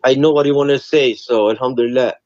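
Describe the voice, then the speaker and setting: Student on a dull, muffled call line. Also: male voice, medium pitch, steady tone, fast talker, a little mellow, no echo. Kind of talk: online lesson, reciting and chatting. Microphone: video call.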